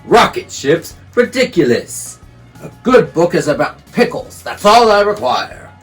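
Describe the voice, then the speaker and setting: A man's voice: a little high, lively intonation, a little slow, soft background music. A man doing a grumpy, pompous bear. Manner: loud, huffy, commanding.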